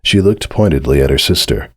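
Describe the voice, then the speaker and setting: Very deep male story narrator, dry sound. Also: animated, brisk, no echo. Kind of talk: dramatic scripted story narration.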